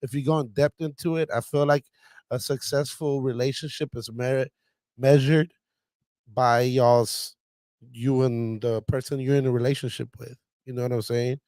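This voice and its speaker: A man in his late twenties lays out his thinking step by step in an even, mid-range voice. He sounds reflective, as if working through an idea in a relaxed talk among friends. The recording is dry and close to the mic. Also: mid-range voice, moderate pace, dry recording. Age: 20s. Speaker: podcast panelist. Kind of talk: casual group chat.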